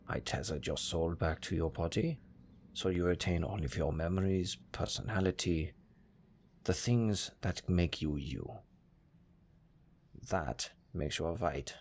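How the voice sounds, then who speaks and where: A man with a German accent playing a cold, condescending necromancer master in an audio roleplay, speaking in a deadpan, unhurried, gravelly way. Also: low-pitched. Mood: matter-of-fact, explaining. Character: cold, dryly matter-of-fact male necromancer master.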